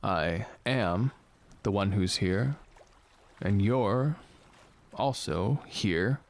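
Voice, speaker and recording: An actor playing a gloomy, philosophical man on a ship in an audio drama, with a solemn deadpan delivery. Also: lowish voice, drawn-out words, exaggerated voice. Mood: tentatively romantic. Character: gloomy philosophical ship's man, fatalistic and slow to romance.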